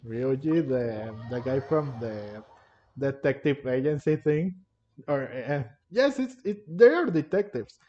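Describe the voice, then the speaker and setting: Guy rambling slowly over his gameplay, kinda high mellow voice, dull audio, faint music. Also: animated. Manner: hesitant, rambling, repeats words. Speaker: gamer commenting on play. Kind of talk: casual gameplay commentary. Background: faint game music.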